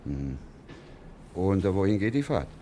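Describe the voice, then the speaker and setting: Low-voiced, prying customs man. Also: sing-song, unhurried, mellow, a little hiss or hum. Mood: casually prying. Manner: hesitant, casually inquisitive. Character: chatty, prying, outwardly friendly English customs officer. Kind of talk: radio drama dialogue.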